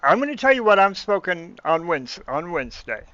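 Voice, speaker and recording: A man's voice: sits high, very animated, moderate pace, muffled recording, background noise. A man in his fifties hosting a casual online show, teasing his co-host and repeating his words as he talks. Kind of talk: casual chat.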